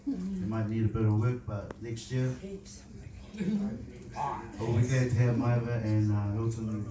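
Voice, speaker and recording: A man with a sore throat giving a rambling, relaxed thank-you speech as team organiser. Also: mid-range voice, a little slow, a loud crowd. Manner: rambling and relaxed with many fillers. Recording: distant echoey noisy room recording.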